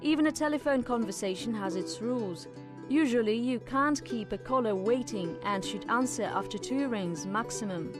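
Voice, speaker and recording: A woman's voice: fairly high pitch, normal speed. A woman reading scripted documentary narration as the narrator, with instrumental music behind her.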